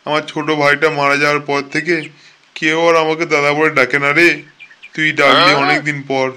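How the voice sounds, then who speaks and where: A man's voice: a little high, little pitch movement, brisk, pushed and bright, smooth. A man voicing a blunt, streetwise big-brother convict in a comic cartoon. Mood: mournful. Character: gruff, streetwise older-brother convict, teasing yet sentimental.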